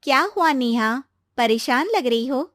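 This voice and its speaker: Woman voicing an animated young college friend. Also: sits high, unhurried, dry recording, clean studio sound, voice pushed up. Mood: helpful. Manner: chatty, explanatory. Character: sensible, concerned young female college friend.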